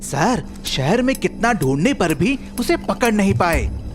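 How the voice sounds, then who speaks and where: Woman playing an eager, lively cartoon police constable. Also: mid-range voice, a little slow, slight background noise. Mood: earnest, eager. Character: eager, deferential police constable in comic story. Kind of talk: Hindi cartoon story acting.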